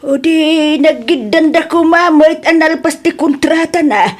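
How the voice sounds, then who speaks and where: A woman with an Ilocano accent acting as an expressive, easily scandalised older mother in an audio drama. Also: high-pitched, measured pace. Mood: surprised. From Philippines.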